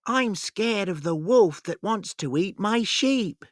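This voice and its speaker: A woman's voice: medium pitch, lively intonation, slow pace, no echo, studio quality. A woman voicing a young shepherd boy in a storybook, friendly, earnest and plain-spoken.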